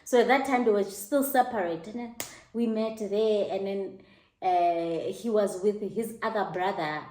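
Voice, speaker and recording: A middle-aged South African woman hosting her own show, telling a personal story in an animated, laughing way. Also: unhurried, a little hiss or hum. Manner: animated, laughing, high-pitched mock exclamations. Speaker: host. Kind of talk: casual storytelling to camera. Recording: echoey room.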